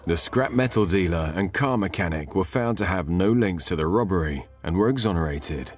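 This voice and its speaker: Deep-voiced male true-crime narrator. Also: expressive, normal speed, soft background music. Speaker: documentary narrator.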